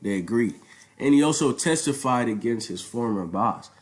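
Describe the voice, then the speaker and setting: A man's voice: medium pitch, lively intonation, moderate pace. A young man with a Nigerian accent giving an informal presentation in a conversational, slangy way, repeating points for emphasis. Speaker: student presenter. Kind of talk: informal spoken project presentation.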